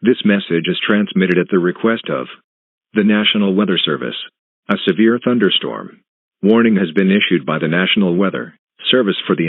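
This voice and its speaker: A low-voiced man reads a scripted emergency alert. His delivery is formal and stilted. The sound is very dry and narrow, like a phone line. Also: no room sound. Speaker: announcer of news and emergency alerts. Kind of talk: scripted emergency broadcast announcement.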